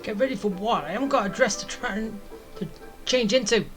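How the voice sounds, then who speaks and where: A woman's voice: a little high, brisk. A woman streamer giving casual, off-the-cuff commentary while playing a video game. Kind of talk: casual live commentary over gameplay. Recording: game music behind.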